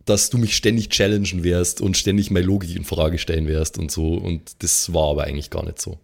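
A man with a fairly low-pitched voice talks in a relaxed, conversational way. His wording is colloquial and slangy, as a game master chatting with his group. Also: brisk, creaky. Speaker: game master on an actual-play podcast. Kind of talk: casual chat, looking back on a game.

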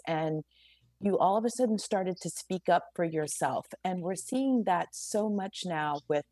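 Lower-voiced woman co-host. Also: a little mellow, no room sound. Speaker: co-host interviewer. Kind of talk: interview questions.

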